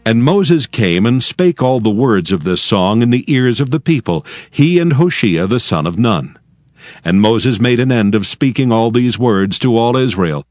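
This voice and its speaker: A man's voice: medium pitch, animated, moderate pace, darkish tone, dry recording, clean studio sound, faint music behind. A man narrating a dramatized scripture production in a scripted storytelling style.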